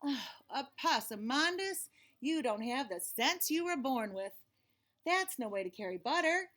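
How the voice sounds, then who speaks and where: A woman reading aloud plays an exasperated mama from a storybook. Her voice is high and emphatic, stretching words out as she scolds and patiently instructs her foolish child. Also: lively intonation, unhurried, fairly bright tone. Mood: exasperated scolding. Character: exasperated, scolding, loving Southern-folktale mama of a silly child.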